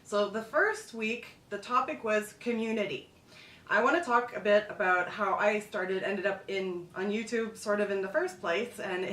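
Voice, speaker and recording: A woman's voice: mid-range voice, a bit bright, far from the mic. Chatty woman in an echoey room. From Montreal, Quebec, Canada. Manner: chatty, loose, with restarts and asides. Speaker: food and travel blogger. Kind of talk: casual vlog monologue. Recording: echoey room, mic set back.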